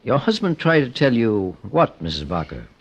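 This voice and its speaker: An actor playing a composed private investigator in an old radio mystery, courteous, measured and probing. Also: male voice, mid-range voice, sing-song, husky. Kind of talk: old-time radio drama acting. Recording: dull, lo-fi old radio recording.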